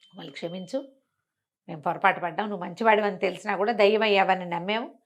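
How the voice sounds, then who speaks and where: A woman's voice: medium pitch, fairly even intonation, fast, close to an everyday voice. A woman voicing contrite village elders who beg forgiveness in a folk tale, in an apologetic manner. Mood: remorseful.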